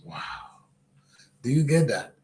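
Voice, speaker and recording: A man hosting a TV talk show, animated, with quick interjections and affirmations. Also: mid-range voice, reverberant. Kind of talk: conversational TV interview.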